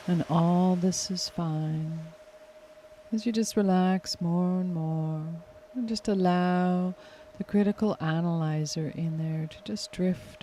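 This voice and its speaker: Slow-speaking woman guiding a meditation. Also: fairly low pitch, a little hiss or hum. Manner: soothing, unhurried, gently instructing. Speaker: hypnotherapist, meditation guide. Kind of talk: guided meditation, hypnotic induction. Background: music clearly behind the voice.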